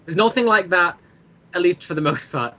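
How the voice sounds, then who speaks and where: A man's voice: high-pitched, lively intonation, normal speed, pushed and bright, muffled recording. A man talking casually as a podcast guest, describing his own creative work. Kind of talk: casual chat.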